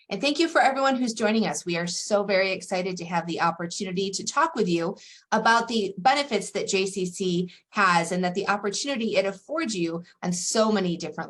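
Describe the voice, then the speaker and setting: A middle-aged woman presenting on a webinar as a college administrator, enthusiastic and welcoming. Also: fairly low pitch. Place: video call.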